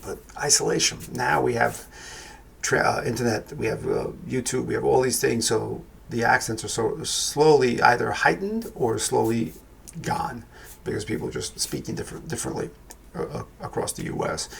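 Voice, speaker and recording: Gravelly New York man reviewing a film. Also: mid-range voice, a little hiss or hum. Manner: rambling, thoughtful, many restarts and fillers. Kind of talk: casual spoken film review.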